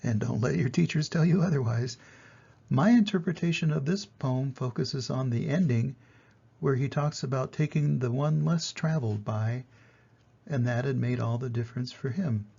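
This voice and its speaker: Gravelly, mellow older man reading to children. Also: mid-range voice, normal speed, lo-fi sound. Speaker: grandfather reading to grandchildren. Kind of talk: storytime reading and explaining.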